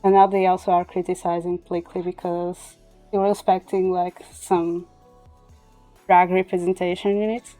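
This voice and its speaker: A woman with a soft-edged, mellow voice chats casually on a livestream. She is a native Portuguese speaker and speaks haltingly at times, feeling for the English word. Music plays behind her. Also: lowish voice, level tone, low-quality recording. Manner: halting, searches for English words. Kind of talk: casual chat.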